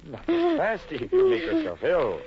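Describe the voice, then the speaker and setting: A man's voice: extremely high pitch, wildly swooping pitch, normal speed, gravelly, exaggerated voice. A man playing an intense, courtly former lover in a 1940s radio drama. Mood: surprised concern. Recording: dull, hissy vintage radio recording.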